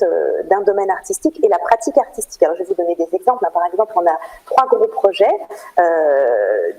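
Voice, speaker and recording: A woman with a French accent from France gives earnest, explanatory answers as a radio interview guest, pausing with hesitation as she goes. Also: lowish voice, warm tone, husky, poor audio. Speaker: interview guest, cultural programme manager at a theatre.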